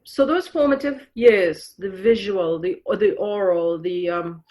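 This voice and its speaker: A middle-aged woman from Trinidad, speaking at a middle pitch. She talks reflectively and thinks aloud, often restarting a sentence or reaching for a word. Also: measured pace, dark tone. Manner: reflective, with frequent fillers and restarts. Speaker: guest author. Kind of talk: conversational podcast interview.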